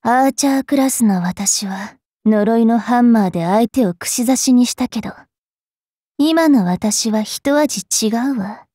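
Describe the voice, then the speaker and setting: A woman voicing a bratty, cruel young fairy witch in an anime game, haughty and taunting with a rough tongue. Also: a little high, unhurried, dark tone, no room sound, studio quality. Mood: gleeful cruelty. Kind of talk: Japanese anime game character voice lines.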